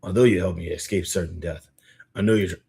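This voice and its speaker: A grave veteran assassin, read out by a man, speaking in a low, husky voice. He is formal and fatalistic, a betrayed servant of duty in a dramatic game story. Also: steady tone, fairly quick, mellow, full character voice. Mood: resigned, bitter. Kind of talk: dramatic reading of story dialogue.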